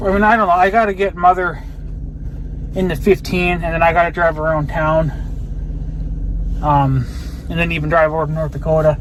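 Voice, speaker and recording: An American man with a fairly high voice rambles casually to his viewers in a resigned, grumbling way. His talk is loose and unscripted. There is some steady vehicle noise around him. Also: rough recording. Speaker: vlogger.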